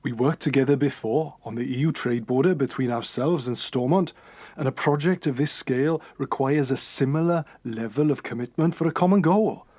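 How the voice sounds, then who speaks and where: A man's voice: mid-range voice, moderate pace, mellow, no room sound, close to an everyday voice. A man acting an earnest, persuasive parliament delegate in a radio play, speaking formally.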